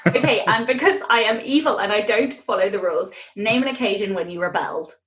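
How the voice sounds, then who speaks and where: A woman hosting a casual, playful podcast interview, expressive and teasing in manner, recorded with a roomy sound. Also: mid-range voice, quick pace, a little hiss or hum. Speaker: guest host interviewing the show's host.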